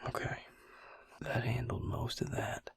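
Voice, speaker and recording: A man playing a gentle, wry vampire healer in an intimate ASMR roleplay, speaking slowly and soothingly in a breathy, husky near-whisper. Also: lightly acted. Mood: soft, focused. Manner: slow, soothing, folksy endearments.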